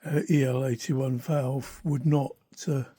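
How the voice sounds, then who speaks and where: An older man with a husky voice talks through a hobby demonstration. His delivery is unscripted and thoughtful, with hesitations as he works out what he wants to say. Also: mid-range voice, level tone, takes it slowly, warm tone. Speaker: hobbyist radio restorer. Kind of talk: informal spoken demonstration, unscripted. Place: small cluttered room indoors. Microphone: handheld phone behind the camera.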